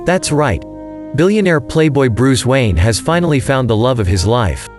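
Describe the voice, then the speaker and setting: A man narrates an audio drama in a dramatic scripted style. His mid-range voice moves around in a lively way, and music is clearly heard behind him. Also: medium pitch, normal speed.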